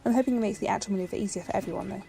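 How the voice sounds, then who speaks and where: A woman playing a brisk, logical young space traveller in a science fiction audio drama, speaking precisely with a slightly pert manner. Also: mid-range voice, vocal fry, dark tone, slight background noise, close to an everyday voice. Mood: brisk, focused.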